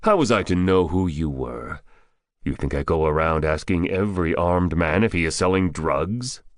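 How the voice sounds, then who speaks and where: A man voicing a cold, menacing gunman posing as a drug buyer in an audiobook, curt, threatening and deliberate. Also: deep voice, dry recording, clean studio sound, natural voice. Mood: snapping. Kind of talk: audiobook character dialogue.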